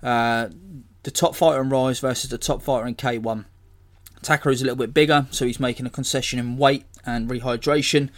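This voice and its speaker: A hoarse man, hosting a casual solo podcast and rambling as he corrects himself. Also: mid-range voice, steady tone, a little fast. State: hoarse from illness. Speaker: podcast host.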